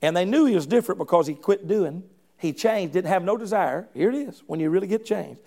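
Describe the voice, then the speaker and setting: A middle-aged man preaching with fervent, folksy conviction. His voice sits high for a man and swings widely in pitch, with a gravelly edge. He restarts phrases often and keeps calling out to the congregation. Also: expressive, a little fast, dark tone. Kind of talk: sermon.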